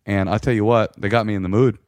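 A man's voice: fairly low pitch, fairly even intonation, fast talker, darkish tone, no echo. An American man co-hosting a podcast in casual comic chat, opinionated and joking, leaning on his words for emphasis. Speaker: podcast co-host.

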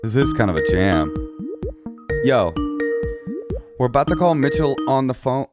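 A man's voice: medium pitch, sing-song, unhurried, loud music behind the voice. A man co-hosting a comedy podcast, joking, riffing and sarcastic in casual chat. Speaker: podcast co-host.

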